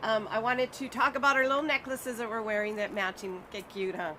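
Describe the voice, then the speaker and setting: A middle-aged woman hosting a casual live chat show, talkative and quick to laugh, slipping Spanish words into her English. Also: on the high side, steady tone, sharp tone. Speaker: show host. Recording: noisy background with passing vehicles.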